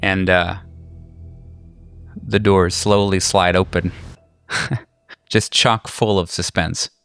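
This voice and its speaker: A man with a fairly low voice hosts and narrates a tabletop horror game. His delivery is informal and halting, slipping into jokey asides, with music playing underneath. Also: steady tone, gravelly, fairly bright tone, clear, resonant tone, no room sound. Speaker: game master and show host.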